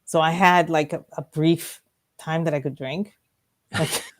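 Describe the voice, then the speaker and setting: A woman's voice: low-pitched, fairly even intonation, a little slow. A middle-aged woman co-hosting a radio talk show, telling rambling personal stories and laughing often. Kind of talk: casual storytelling chat. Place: radio studio.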